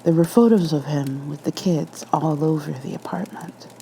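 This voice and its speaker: A woman's voice: deep voice, normal speed, vocal fry, warm tone, a little hiss or hum, low-quality recording. A woman reading a scripted horror story as an ASMR narrator.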